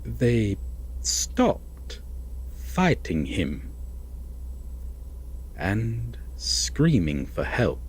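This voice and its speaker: Man playing a husky, slow-speaking obsessive lovesick captor. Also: fairly low pitch, wildly swooping pitch, heavily acted, poor audio. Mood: quietly satisfied. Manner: halting, hushed, wistful, with heavy pauses. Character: obsessive lovesick yandere prince, a captor telling a bedtime fairytale. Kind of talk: slow, dramatic bedtime-story audio roleplay.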